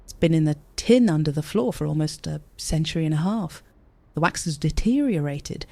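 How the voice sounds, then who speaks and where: A mellow-toned, brash young woman in a naturalistic audio drama, recorded close and dry. She is impatient, blunt and sarcastic, eager to explain. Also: low voice, animated, normal speed, creaky, no room sound, full character voice. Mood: insistent.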